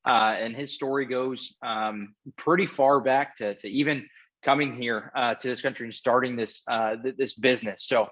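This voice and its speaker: A man's voice: medium pitch, fairly even intonation, normal speed, a bit bright, muffled recording. A man hosting a podcast, eager and stumbling over repeated words. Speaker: podcast host.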